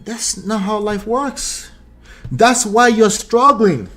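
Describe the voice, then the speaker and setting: A forties-aged Nigerian man on a commentary show, reading remarks aloud and pushing back hard. His voice sits a little higher than most men's. He drives each point home by repeating it and firing off questions. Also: takes it slowly, bright, forceful tone. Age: 40s. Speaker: relationship commentary host.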